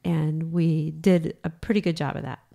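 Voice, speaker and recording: A raspy middle-aged woman with a low, gravelly voice, co-hosting a podcast. She chats frankly and laughs easily, and her voice sounds slightly hoarse. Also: level tone, normal speed, warm tone. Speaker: podcast co-host. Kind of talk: casual chat.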